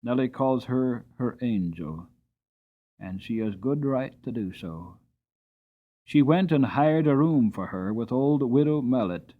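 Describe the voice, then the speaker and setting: A man voicing a kind, hardworking coachman who talks plainly and earnestly, on a tinny narrowband recording. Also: medium pitch.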